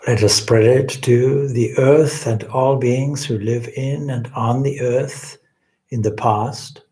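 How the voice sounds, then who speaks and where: A man leading a guided meditation as a swami, speaking in a gentle, reverent, deliberate way with long pauses. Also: medium pitch, steady tone.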